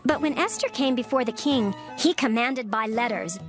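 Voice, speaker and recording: A woman's voice: fairly high pitch, normal speed. Woman narrating scripture over music. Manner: steady, formal reading with careful pacing.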